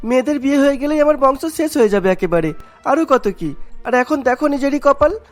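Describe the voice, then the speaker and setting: A man's voice: very high-pitched, animated, a little fast. A man voicing an aggrieved, gossiping neighbour in a cartoon. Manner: bitter, gossipy. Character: aggrieved, gossiping neighbour, parent of two daughters. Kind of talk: cartoon dialogue. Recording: music bed behind the voice.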